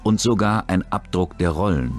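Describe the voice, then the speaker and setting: A man's voice: low voice, lively intonation, moderate pace. A man narrating a scripted true-crime documentary. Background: background music.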